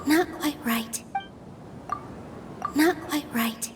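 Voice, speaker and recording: High-voiced, gentle young game heroine. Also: female voice, very slow, background noise. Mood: pondering. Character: gentle, earnest young female theater dancer. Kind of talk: video game dub acting.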